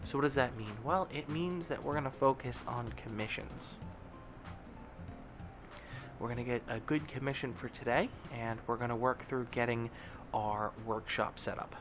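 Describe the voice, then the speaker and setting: A man's voice: mid-range voice, normal speed, music in the background. A man with a stuffy, nasal voice giving casual, joking commentary as a let's play host. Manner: chatty, joking, with many fillers.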